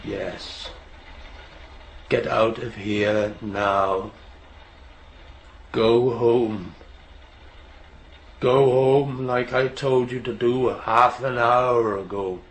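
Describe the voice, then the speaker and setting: A man's voice: medium pitch, steady tone, drawn-out words, pauses often. An actor playing a stern, stubborn railway signalman father in a radio drama, with a terse, commanding manner. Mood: stern, urgent.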